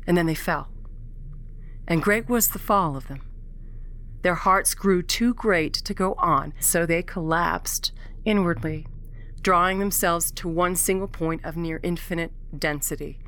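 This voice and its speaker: A woman's voice: on the low side, fairly bright tone. Woman acting a lofty, world-weary alien rebel. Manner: lofty, theatrical, teasing. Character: wry, world-weary alien rebel prisoner, grandly philosophical. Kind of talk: science fiction audio drama acting.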